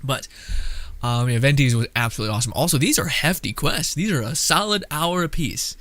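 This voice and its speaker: A young man giving casual, rambling gameplay commentary as a streamer, thinking out loud. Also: medium pitch, lively intonation, fairly quick. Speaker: game streamer.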